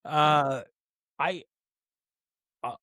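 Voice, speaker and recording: A man's voice: fairly high pitch, extremely slow, pushed and bright, no echo, clean studio sound. A man co-hosting a podcast, chatting casually with offhand, joking asides. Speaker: podcast co-host. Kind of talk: casual review chat.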